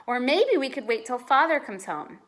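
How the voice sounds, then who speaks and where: A woman's voice: high voice, normal speed, pitched above the performer's own voice, full character voice. A woman reading a storybook as a sceptical young boy, reluctant and hard to impress. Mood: anxious. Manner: flat, unimpressed, reluctant. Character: bored, sceptical young boy, easily daunted.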